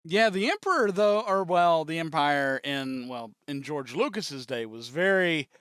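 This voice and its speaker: A man's voice: on the high side, lively intonation, a little slow, fairly bright tone, soft background music. A man giving casual fan reaction commentary as host of a reaction video.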